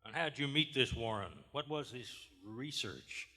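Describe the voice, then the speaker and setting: Man playing gruff lawman, sharp-voiced. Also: medium pitch, measured pace. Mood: probing. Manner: folksy, blunt, badgering. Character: gruff, skeptical Southern small-town lawman interrogating a suspect. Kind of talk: live radio horror theater acting. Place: large theater stage, live performance. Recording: live stage in echoing theater hall.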